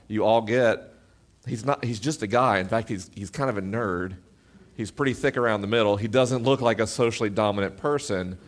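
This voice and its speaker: Booming-voiced man talking on stage. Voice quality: deep booming voice. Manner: animated storytelling, self-deprecating humor. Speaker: podcast host. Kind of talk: live stage talk to an audience. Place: stage at a live event before an audience. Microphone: amplified stage mic.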